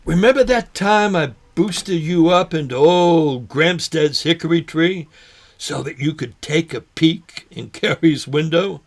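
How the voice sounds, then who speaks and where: A man playing a forgetful, folksy older man who talks haltingly, in a naturalistic drama. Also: fairly high pitch. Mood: teasing. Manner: halting, folksy, mild exclamations. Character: forgetful old man, folksy, nervous, teasing lifelong fisherman. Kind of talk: naturalistic short film drama acting.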